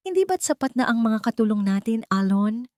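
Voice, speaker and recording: A woman's voice: fairly high pitch, big pitch swings, fairly quick, darkish tone, no room sound, studio quality, voice pushed up. A woman voicing a cold, domineering, villainous lady of a wealthy house in an audio drama, icy and commanding. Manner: icy, commanding, hissing menace.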